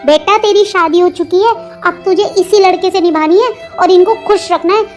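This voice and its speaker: A very high, almost childlike voice from a woman plays a stern middle-aged mother in a cartoon family drama. She nags, orders and threatens, bossy and melodramatic in her delivery. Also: normal speed, soft background music. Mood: stern, warning. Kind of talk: melodramatic Hindi cartoon dialogue.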